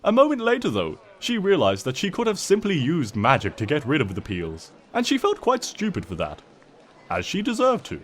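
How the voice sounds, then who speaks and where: A man's voice: fairly high pitch, wildly swooping pitch, normal speed. A man voicing a smug, mock-innocent trickster creature who narrates a comic storybook tale in a pompous, wry, theatrical way.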